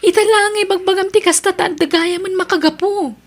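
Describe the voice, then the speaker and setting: A disapproving Filipino best friend in an audio drama. Her voice is very high, and she speaks bluntly, admonishing with exasperation. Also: fairly even intonation, a little fast. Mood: scolding.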